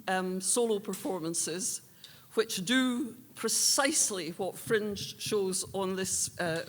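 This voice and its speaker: A woman presenting awards live, speaking in a measured, earnest way. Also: lowish voice. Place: live on stage at an awards ceremony. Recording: reverberant hall sound.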